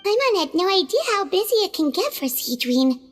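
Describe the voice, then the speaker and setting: Childlike fairy mascot, squeaky high, swooping pitch, excitable, exclamatory, video game sidekick. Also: female voice, fairly quick. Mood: chatty. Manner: exclamatory, speaks of herself by name. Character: tiny, excitable, childlike floating fairy companion.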